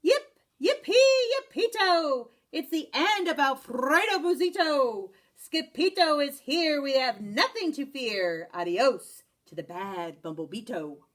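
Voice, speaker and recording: A woman teacher voicing a gruff male Chihuahua gang leader with a growling manner. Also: sits high, big pitch swings, slow pace, fairly bright tone, voice pushed up, full character voice. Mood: cheering.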